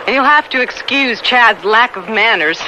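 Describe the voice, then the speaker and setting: A woman's voice: on the high side, animated, pushed and bright. An American actress playing a plucky, wry, teasing woman in a radio drama, with sardonic banter. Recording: noisy old radio broadcast with rushing background.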